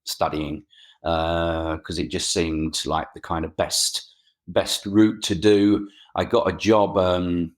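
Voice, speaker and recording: A middle-aged man with an Essex twang and a very deep voice talks through his story as a guest on a remotely recorded interview. He stumbles and repeats words often and circles back to restart his sentences. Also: level tone, slow pace. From England. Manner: hesitant, frequent word repeats and restarts. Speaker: guest, freelance photographer. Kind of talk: casual interview chat.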